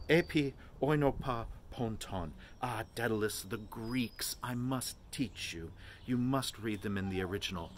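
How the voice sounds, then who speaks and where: A man's voice: medium pitch, fairly bright tone, a little hiss or hum. A man voicing a plump, mocking young man who declaims grandly and teases in a jovial, theatrical way. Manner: grandiose mock-preacher declamation, teasing, briskly jovial.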